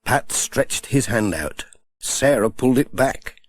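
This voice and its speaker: A man's voice: medium pitch, expressive, slow. A man narrating a children's story as an audiobook reader.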